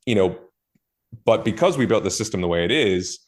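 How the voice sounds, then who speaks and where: A man with a very low voice walks through how he builds things, in a relaxed podcast chat. He talks thoughtfully, often pausing on fillers and restarting mid-word. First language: not Portuguese. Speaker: guest, e-commerce marketer. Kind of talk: casual podcast conversation.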